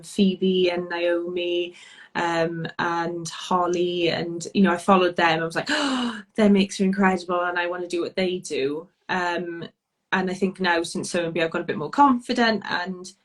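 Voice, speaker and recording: A woman's voice: on the low side, fairly even intonation, normal speed. A woman with a Welsh accent, a guest chatting casually on a video call, talking freely with many ums and frequent laughs. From Wales. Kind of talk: casual chat.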